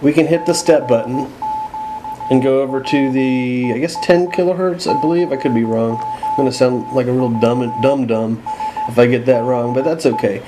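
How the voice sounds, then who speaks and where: Guy doing an off the cuff gadget demo, kinda unsure and self-deprecating, mid pitch, noisy radio static behind him. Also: moderate pace. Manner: self-deprecating, hesitant, thinking aloud. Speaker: radio hobbyist presenting a gear demonstration. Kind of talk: casual unscripted product walkthrough.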